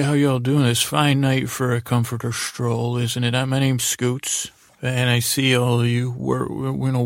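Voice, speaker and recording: A man improvising a rambling bedtime story as a podcast host, in a halting, creaky voice that keeps repeating itself and trailing off. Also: mid-range voice, no room sound, clean studio sound. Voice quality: gravelly, creaky.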